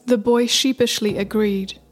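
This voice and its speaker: A woman's voice: on the high side, steady tone. Woman narrating a folktale over music. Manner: measured, literary storytelling. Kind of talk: scripted folktale storytelling narration.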